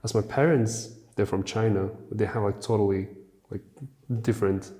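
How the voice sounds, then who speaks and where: Mellow, low-voiced male podcast host. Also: moderate pace. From Kazakhstan. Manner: lively, anecdotal storytelling with frequent "you know". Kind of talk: casual conversation.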